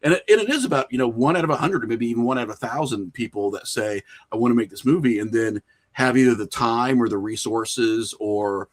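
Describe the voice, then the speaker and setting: A middle-aged American man telling stories casually as an interview guest, animated and often acting out imagined lines of dialogue. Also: mid-range voice, brisk. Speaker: podcast guest, independent filmmaker.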